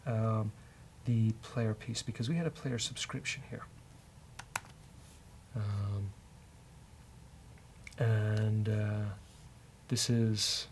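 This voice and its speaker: A man's voice: medium pitch, creaky. Man thinking aloud while coding, faint noise. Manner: joking, bantering with co-host. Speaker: host of a programming show, coding live. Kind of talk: casual live coding walkthrough, thinking aloud.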